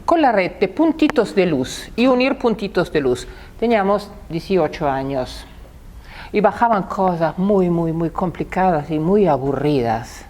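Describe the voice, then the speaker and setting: A woman's voice: lowish voice, expressive, unhurried, darkish tone, slight background noise. A woman in her sixties, a native Italian speaker with an Italian accent, giving a public talk and often repeating words as she searches for them. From Italy.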